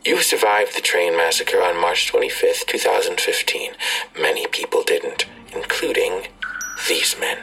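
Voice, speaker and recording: A husky, gravelly, menacing tormentor. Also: male voice, low-pitched, heavily acted, rough recording. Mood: cold, commanding. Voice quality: husky, gravelly, often whispery. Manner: slow, terse, calmly controlling. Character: menacing male tormentor issuing cold, calm commands. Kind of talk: full-cast audio drama acting.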